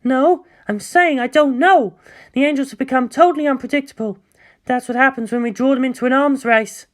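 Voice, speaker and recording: Woman playing a high-voiced, fearful female scientist. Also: brisk, natural voice. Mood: dismayed. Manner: tense, hesitant, technical explaining. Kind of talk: dramatic audiobook character acting.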